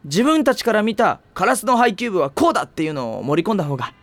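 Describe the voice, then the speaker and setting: A man's voice: high-pitched, big pitch swings, fast talker, dry recording. An actor playing a kind, teasing older teenage boy who talks in an easygoing, reassuring way in an anime drama CD.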